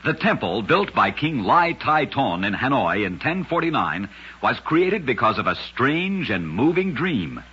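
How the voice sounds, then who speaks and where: A man narrating scripted oddities as an old-time radio host, with a dramatic, emphatic delivery and deliberate pauses. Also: mid-range voice, big pitch swings, sharp tone, breathy edge. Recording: dull, lo-fi old radio recording.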